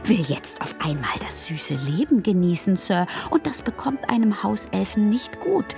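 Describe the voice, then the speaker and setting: A woman's voice: medium pitch, sing-song, normal speed, gravelly, darkish tone, soft background music. A woman voicing a timid, anxious female house-elf servant in an audiobook, with a tiny, trembling, piping voice and a submissive, earnest manner. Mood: indignant, fearful. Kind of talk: audiobook character voice.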